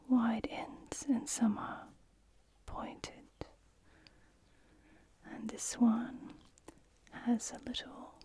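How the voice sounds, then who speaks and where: Higher-voiced lady whispering softly, asmr shop assistant thing, gentle and attentive, breathy. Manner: gentle, unhurried, attentive to the customer. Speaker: ASMR creator playing a stationer's shop assistant. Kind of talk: soft-spoken ASMR role play.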